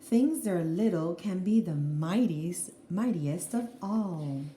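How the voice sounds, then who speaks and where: A woman teacher reading a children's picture book aloud in an expressive, deliberate way, with explanatory asides to young listeners. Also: lowish voice. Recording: roomy echo, faint background noise.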